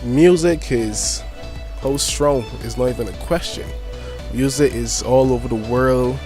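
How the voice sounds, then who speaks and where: A man's voice: mid-range voice, a little slow. A nineteen-year-old man, a youth media founder and poet, answering interview questions eagerly with many fillers. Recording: clear music playing behind.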